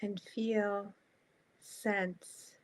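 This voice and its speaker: A woman guiding a meditation in a calm, soft, spacious manner, leaving long pauses between short phrases. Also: mid-range voice, rough recording. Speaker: meditation guide.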